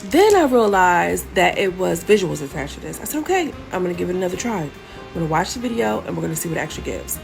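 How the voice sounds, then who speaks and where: A woman giving casual, sassy commentary on a new song, critical and animated. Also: quick pace, gravelly, over music. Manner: animated, sassy and critical, with many pitch jumps.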